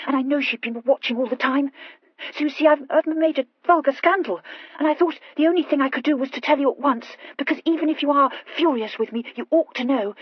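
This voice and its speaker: A woman's voice: sits high, breathy edge, dry recording, muffled recording, pitched above the performer's own voice. A woman voicing an impulsive, anxious young aristocratic wife who stammers and gushes earnestly in an audiobook story. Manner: hesitant, gushing confession with emphatic outbursts.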